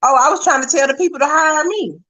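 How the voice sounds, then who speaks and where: A woman's voice: high voice, fast, bright, forceful tone. A woman guesting on a podcast, talking in a blunt, playful, casual way. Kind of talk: casual chat.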